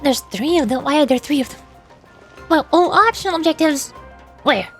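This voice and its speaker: A woman's voice: high-pitched, expressive, moderate pace, a bit bright, faint music behind. A woman chatting casually as she plays a game on stream, a VTuber talking to viewers.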